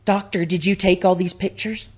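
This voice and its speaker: A woman's voice: on the low side, steady tone, normal speed, a little mellow, slightly rough. A woman playing an earnest, deferential young FBI agent in a radio comedy. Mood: earnest, polite.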